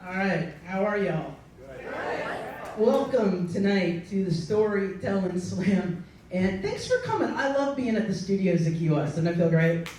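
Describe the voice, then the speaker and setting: A woman's voice: medium pitch, sing-song, measured pace, background noise. A woman hosting a live storytelling slam, cracking self-mocking jokes and laughing often. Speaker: host. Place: live stage before an audience. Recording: echoey room, mic from far, audience.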